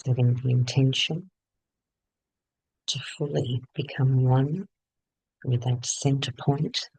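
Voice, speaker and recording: A man leading a guided meditation in short phrases with long pauses between them. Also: mid-range voice, steady tone, creaky, a little mellow, slightly rough, dry recording, poor audio.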